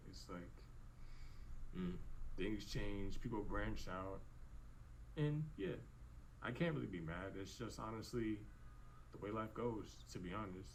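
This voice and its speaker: Guy in his 20s, lowish voice, kinda halting, lots of likes and ums, faint hiss behind. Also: vocal fry, rough recording. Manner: halting, restarts with fillers like "like" and "um". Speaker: vlogger in clip. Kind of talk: reflective casual monologue. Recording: faint background noise.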